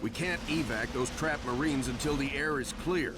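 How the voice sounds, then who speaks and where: A man's voice: moderate pace, close to an everyday voice. Commanding male warship captain acted by a man. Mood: steady, measured. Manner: authoritative, terse orders. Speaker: ship captain. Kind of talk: scripted video game acting. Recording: loud music and vehicle rumble behind.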